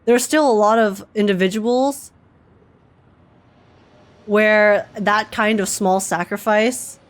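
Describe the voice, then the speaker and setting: A woman's voice: mid-range voice, steady tone, takes it slowly. A woman streamer giving casual live reaction commentary in an informal, chatty, slangy way.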